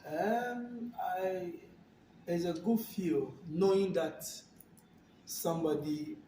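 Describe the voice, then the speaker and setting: A Ghanaian man talking casually and thoughtfully, pausing and repeating words, recorded on a phone from a distance in a room. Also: a little high, warm tone, roomy sound, a little hiss or hum. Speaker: guest. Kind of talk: casual conversation.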